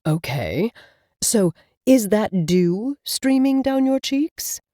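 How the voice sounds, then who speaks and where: A woman voicing a concerned male talking cat, gentle and slow. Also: mid-range voice, big pitch swings, dry recording, studio quality, pitched above the performer's own voice.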